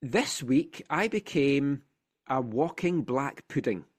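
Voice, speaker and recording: Lively Scottish man hosting a podcast. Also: medium pitch, takes it slowly. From Scotland. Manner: chatty, animated storytelling with playful asides. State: congested from a sinus infection. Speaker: podcast host. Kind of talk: casual football podcast chat.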